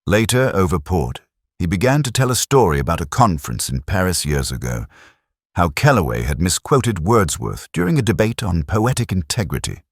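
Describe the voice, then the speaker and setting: A man narrating a scripted first-person detective story in a measured, literary, brooding manner. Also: low-pitched, sing-song, no echo, clean studio sound.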